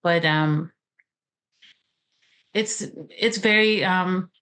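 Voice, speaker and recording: Romanian-accented woman in her forties, low voice, halting, pausing, author guest. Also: fairly bright tone. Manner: halting, many "um"s and restarts. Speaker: author and college teacher. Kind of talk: interview guest talk.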